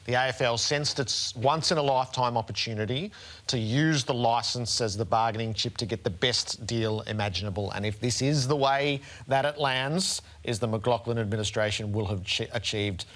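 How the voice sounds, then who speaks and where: A man's voice: medium pitch, moderate pace, a bit bright. An Australian man hosting a sports panel show, speaking in an emphatic, eloquent, rhetorical way.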